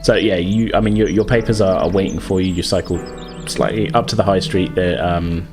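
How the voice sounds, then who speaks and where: Male game master, deep voice, narrating off the cuff with hesitant hedging, faint music behind. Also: steady tone, fairly quick. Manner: conversational, improvised, hesitant hedging. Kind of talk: narrating a tabletop roleplaying game. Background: background music.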